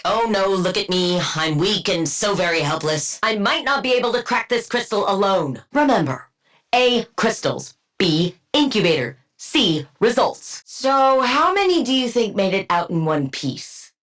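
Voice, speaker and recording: An actress playing a brilliant, arrogant, sardonic supervillain scientist in a video game, precise and condescending, with dry wit. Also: female voice, mid-range voice, very animated, measured pace, fairly bright tone. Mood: wry, brisk. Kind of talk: video game character voice lines.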